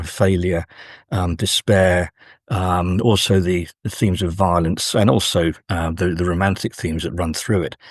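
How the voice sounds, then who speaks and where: A man with a fairly low voice talks as an academic guest in an interview. He explains his ideas thoughtfully, often halting mid-sentence to find the next phrase. The sound is close and dry. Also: no room sound. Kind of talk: interview discussion, scholarly explanation.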